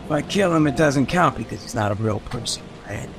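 An actor playing a cocky, irreverent rogue mayor with a teasing, swaggering manner in a radio drama. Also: male voice, mid-range voice, animated, fairly quick, noisy background, close to an everyday voice. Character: roguish, cocky male mayor, irreverent troublemaker. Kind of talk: old-time radio drama acting.

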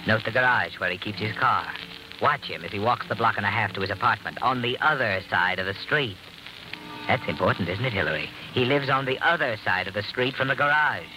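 Old radio recording with music, man acting omniscient suspense narrator, rapid delivery, taunting, insinuating. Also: lowish voice, expressive, natural voice, poor audio. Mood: ominous, suspenseful. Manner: insinuating, rhetorical tag questions. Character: taunting, omniscient male inner-voice narrator of doom.

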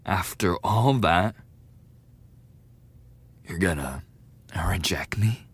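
A young man playing a lovesick, defensive school bully in a boyfriend ASMR roleplay, speaking haltingly in a husky, breathy voice. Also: low voice, animated, exaggerated voice. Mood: hurt, indignant. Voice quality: husky, breathy, often dropping to a whisper. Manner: halting, swearing, coughing between phrases.

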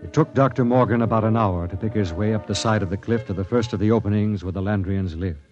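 An actor playing a stern expedition captain who narrates an old-time radio drama in a measured, authoritative way. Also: male voice, lowish voice, music in the background, natural voice. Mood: measured storytelling. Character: stern, commanding expedition captain recounting a grim tale. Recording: dull vintage radio recording.

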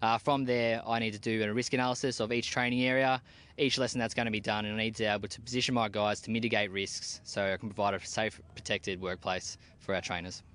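A young Australian man, an army team leader, answering interview questions outdoors in a plain-spoken, relaxed way with casual slang. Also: on the low side, quick pace, clear, resonant tone. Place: outdoors at a military base.

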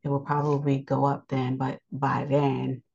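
A deep-toned woman with a scratchy voice gives a scriptural lesson on her podcast. She rambles and pauses often with ums. The recording sounds dull and lo-fi. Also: monotone, vocal fry, a little mellow, husky. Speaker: podcast teacher.

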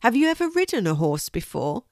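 A woman voicing a kind, helpful girl in a storybook, with a gentle, reassuring manner. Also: medium pitch, big pitch swings, brisk, dry recording, clean studio sound, voice pushed up.

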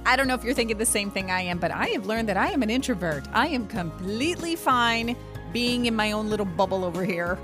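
Woman host, upbeat and brisk, faint music under her voice. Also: mid-range voice, animated. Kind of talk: talk show hosting.

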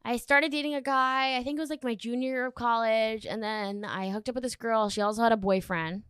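A woman's voice: on the high side, steady tone, a little fast, a bit bright, clean tone, no room sound, studio quality. A woman in her thirties telling candid, crude, jokey stories in a casual chat.

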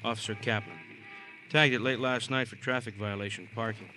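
A man's voice: lowish voice, fairly even intonation, brisk, pushed and bright, soft background music. An American man playing a seasoned, matter-of-fact police detective in a vintage radio crime drama, plainspoken and clipped. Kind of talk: 1940s-50s radio crime drama acting. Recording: noisy old radio recording.

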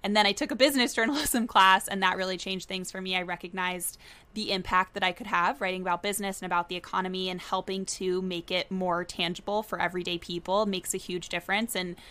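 Young woman journalist, bright voice, chatty and upbeat, laughs a lot. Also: lowish voice, fairly even intonation. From Florida. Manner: upbeat, quick-witted, laughs often. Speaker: business journalist, guest. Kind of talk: casual interview chat.

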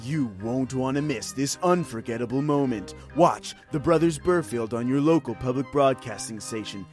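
An actor playing a TV promo announcer with a punchy delivery. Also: male voice, mid-range voice, normal speed, a little mellow, faint music behind, close to an everyday voice. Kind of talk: parody TV promo.

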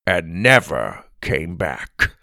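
Man acting a huffy, animated old grandpa. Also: low-pitched, extremely slow, creaky, dry recording, clean studio sound, voice pushed down, full character voice. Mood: sullen. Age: older. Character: gruff huffy old grandfather, defensive and flustered.

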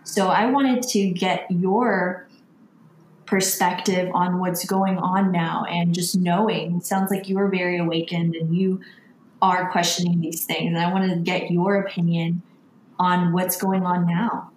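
A woman hosts a casual interview, earnest and reflective as she talks at length. Her voice is lowish for a woman, recorded in an echoey room with faint noise underneath. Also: flat delivery, measured pace. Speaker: podcast host.

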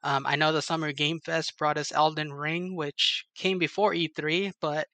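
A man's voice: a little high, little pitch movement, normal speed, sharp tone, no room sound. A man hosting a show in an enthusiastic, informal way, speaking casually like someone sharing news with fans. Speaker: show host. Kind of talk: casual gaming news recap and interview.

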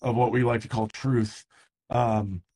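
A man's voice: mid-range voice, flat delivery, measured pace, mellow, poor audio. A man in his thirties hosting a baseball podcast, talking loosely with lots of fillers and restarts. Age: 30s. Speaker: podcast host. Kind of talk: casual interview chat. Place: San Diego.

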